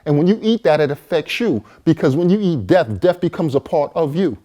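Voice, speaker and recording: A man's voice: fairly high pitch, expressive, a little fast, mellow. A man in his forties, a vegan chef and co-author, telling his own story to the camera in a casual, conversational way with fillers and repeated words. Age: 40s. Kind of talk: personal testimony to camera.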